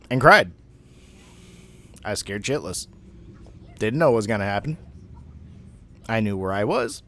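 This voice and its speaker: A man in his thirties telling a personal story casually, in a rambling, halting way with some swearing. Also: mid-range voice. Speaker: amateur storyteller.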